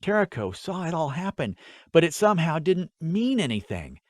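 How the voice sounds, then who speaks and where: A man narrating a fantasy audiobook from a script, with deliberate, dramatic phrasing. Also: fairly high pitch, sing-song, dry recording, clean studio sound.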